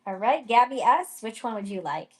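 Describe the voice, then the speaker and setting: Female co-host, bright giggly manner, higher voice, buyer shout-outs. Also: lively intonation, moderate pace. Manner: upbeat, giggly, reads buyer names. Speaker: co-host of pin sale. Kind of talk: casual live-sale chat.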